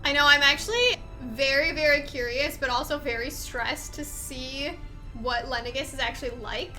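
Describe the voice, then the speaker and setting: A woman's voice: high-pitched, moderate pace, pushed and bright, soft background music, low-quality recording. A woman giving casual, informal, reactive gameplay commentary as the host of a Let's Play.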